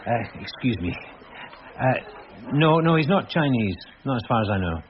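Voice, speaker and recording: An actor playing a harried male detective sergeant in a radio drama, distracted, halting and dryly put-upon. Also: medium pitch, big pitch swings, dull audio, noisy background, natural voice. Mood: busy, distracted.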